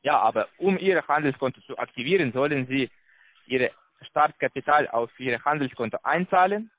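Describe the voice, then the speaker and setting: A man with a foreign accent, not a native German speaker, giving a halting, repetitive telephone sales pitch as a call center salesman. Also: lively intonation. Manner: halting, repetitive, broken grammar. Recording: tinny narrow phone line.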